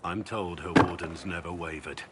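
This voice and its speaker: An actor playing a solemn warrior in a video game, speaking gravely and deliberately. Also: male voice, low-pitched, steady tone, lightly acted. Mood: somber. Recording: loud background noise with clicks.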